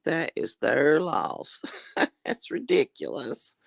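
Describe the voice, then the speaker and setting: A woman chatting casually with her viewers in a folksy, religious way, calling them honey. She keeps clearing her throat as her voice gives out. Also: sits low, huge pitch swings, slow, no room sound, clean studio sound. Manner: religious, often says Lord have mercy. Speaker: vlogger reading viewer comments. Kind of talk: casual live chat.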